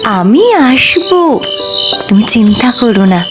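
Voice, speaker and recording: Woman doing a light, lilting fairy maiden, teasing and gentle; cartoon, music bed. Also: fairly high pitch, big pitch swings, slow. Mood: playful. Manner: soft, coaxing, light laughter. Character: gentle playful young fairy girl. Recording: music playing behind.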